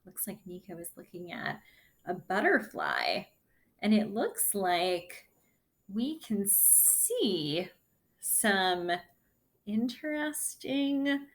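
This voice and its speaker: A woman speaks as a kindergarten teacher reading aloud to young children. Her pace is slow and deliberate, broken into short, simple phrases. Her medium-pitched voice rises and falls with lively intonation.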